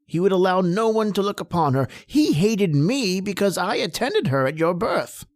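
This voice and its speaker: A man playing a grave doctor in an old-time radio horror drama, speaking with deliberate, dramatic delivery. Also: sits high, lively intonation, no room sound, clean studio sound. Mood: grave, revealing. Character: grave male doctor solving a family tragedy.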